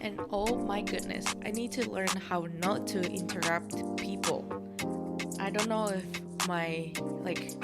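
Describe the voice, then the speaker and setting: A woman with a Japanese accent hosts a casual podcast, bubbly and chatty in her talk. Her voice sits at a middle pitch. Loud music plays behind her. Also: steady tone. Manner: bubbly, chatty, quick to interject. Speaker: podcast host. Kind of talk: casual interview chat.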